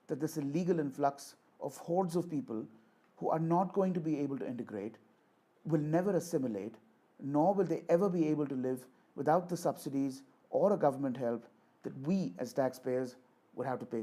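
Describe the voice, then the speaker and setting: Husky man delivering a political speech. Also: a little high, mellow. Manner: declamatory, deliberate, repeats phrases for emphasis. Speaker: orator reading a voice sample. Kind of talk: rhetorical political speech, rehearsed with repeated takes.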